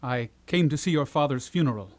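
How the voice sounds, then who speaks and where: A man's voice: medium pitch, animated, a little fast, close to an everyday voice. A man playing a prince's earnest, loyal friend in a theatrical Shakespearean puppet play, respectful in manner. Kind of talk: theatrical Shakespearean stage acting for puppet show. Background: loud music.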